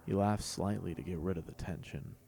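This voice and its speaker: Low, gravelly male narrator. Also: fairly even intonation, a little fast, warm tone, dry recording. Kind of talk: scripted fan fiction narration.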